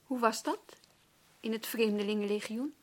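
An actress playing a patient care worker in a radio drama, asking hesitant but persistent questions. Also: female voice, fairly high pitch, moderate pace, natural voice. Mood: curious. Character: patient, probing female care worker visiting a client's relative. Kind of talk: naturalistic radio drama dialogue.

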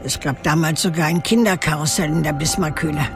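A woman's voice: sits low, a little fast, lightly acted. Actress as elderly female cave dweller, eccentric, knowing, reminiscing, naturalistic radio drama, music behind. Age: older. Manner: matter-of-fact, reminiscing.

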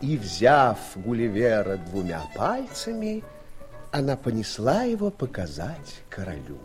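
A man narrating a children's story in an expressive storybook style. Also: medium pitch, unhurried. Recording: music behind the voice.

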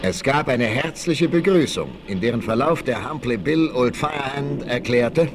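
A man tells a story as a vintage radio play narrator. His medium-pitched delivery is dramatic and very lively in intonation, on a clearly noisy old vinyl recording. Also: normal speed.

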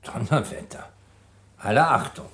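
An actor playing a skeptical prosecutor who questions a suspect in an old radio play, probing and ironic. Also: male voice, mid-range voice, lively intonation, unhurried, bright, forceful tone. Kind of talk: 1950s radio drama acting.

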